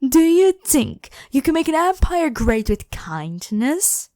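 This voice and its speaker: A woman's voice: high voice, sing-song, takes it slowly, dark tone, no echo, exaggerated voice. Woman acting a haughty, evil pharaoh queen, dry close sound. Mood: outraged, cruel. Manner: haughty, commanding, theatrically scornful. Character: evil ancient female pharaoh, imperious, demanding, controlling and ruthless. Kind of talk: scripted ASMR roleplay addressed to the listener.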